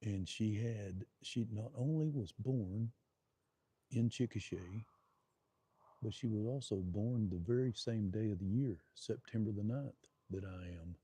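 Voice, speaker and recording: A man's voice: lowish voice, measured pace, mellow, breathy edge, no echo. A middle-aged man with an Oklahoma accent, chatting folksily to live-stream viewers from a deer blind and telling a rambling story.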